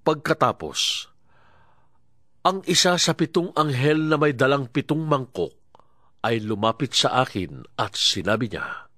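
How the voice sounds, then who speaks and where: A man's voice: mid-range voice, expressive, a little slow, long pauses, bright, forceful tone. A man with a Filipino accent giving solemn, emphatic dramatic narration of scripture. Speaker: Bible narrator.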